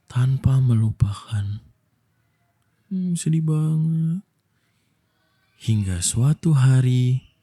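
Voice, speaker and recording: Young male ASMR storyteller. Unhurried, very dark soft tone, soothing bedtime delivery. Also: medium pitch. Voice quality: soft, breathy, husky. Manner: slow, gentle, soothing delivery with long pauses. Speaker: ASMR voice actor telling a bedtime story as a boyfriend.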